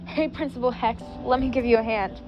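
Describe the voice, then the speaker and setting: A woman's voice: a little high, fairly even intonation, a little fast, lo-fi sound, faint music behind, lightly acted. Woman reading as a sly charming teen boy. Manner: read in the reader's own voice.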